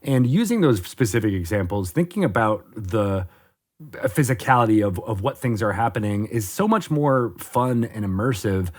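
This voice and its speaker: A man's voice: on the low side, animated, normal speed. A man hosting a podcast interview in a casual, conversational style. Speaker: podcast host.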